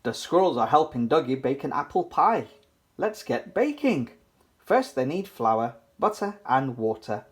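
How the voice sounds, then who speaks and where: A children's book reader giving an animated, playful, sing-song read-aloud for toddlers. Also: medium pitch, a little slow.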